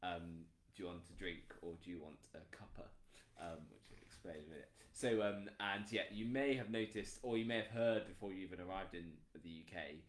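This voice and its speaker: A man's voice: sits low, fairly quick, few pauses. A man with an English accent chatting casually as a co-host, with a dry, jokey, self-deprecating manner. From England. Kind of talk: casual chat, informal demonstration. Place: room in a house. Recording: echoey room.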